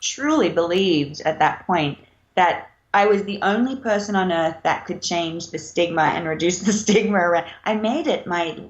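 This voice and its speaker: A woman's voice: lowish voice, normal speed. A woman author speaking as an interview guest, reflective and hesitant, with many fillers. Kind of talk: casual interview chat. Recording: slightly dull lo-fi sound.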